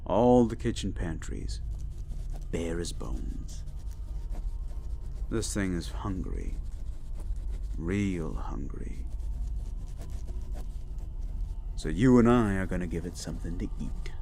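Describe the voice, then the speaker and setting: A man playing a gruff, world-weary demon agent who hunts monsters, with a terse, laid-back, wry drawl, in an action-horror audio drama. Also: deep voice, warm tone, slight background noise, full character voice. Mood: gruff, businesslike.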